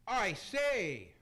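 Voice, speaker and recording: A man as repentant Roman emperor, high-pitched, very animated, effusive, theatrical rhymes, radio play. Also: a little hiss or hum, heavily acted. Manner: effusive, theatrical rhyming speech. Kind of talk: amateur radio theater acting.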